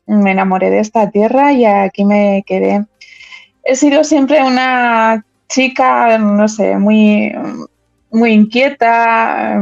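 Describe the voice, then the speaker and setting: A woman's voice: medium pitch, creaky, darkish tone, no room sound, lo-fi sound. A woman in her fifties from Madrid, answering interview questions as a political candidate, often repeating words and restarting her phrases. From Madrid, Spain. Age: 50s.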